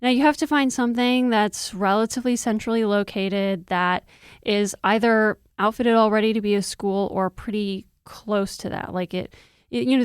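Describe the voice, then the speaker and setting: A woman's voice: mid-range voice, smooth, no echo, studio quality. A woman reporter explaining things step by step in an informal, conversational news discussion. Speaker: news reporter, interview guest.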